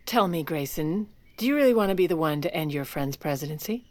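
Wry, low-voiced Lady. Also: animated, brisk, a little mellow, dry recording. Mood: insistent, persuasive. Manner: dry, wry, controlled. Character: wry, scheming, self-assured American First Lady. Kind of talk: audio drama narration and dialogue.

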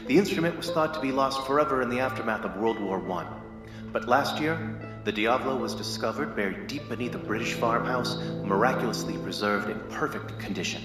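An actor playing a brisk, formal male auctioneer calling bids in an audio drama, heard in a reverberant hall. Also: medium pitch, close to an everyday voice. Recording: echoey hall, long reverb.

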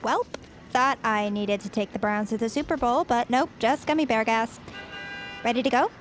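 A woman's voice: a little high, normal speed, soft background music, close to an everyday voice. An actress playing a joking, casual teenage girl, a teasing friend, in naturalistic audio drama. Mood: playful.

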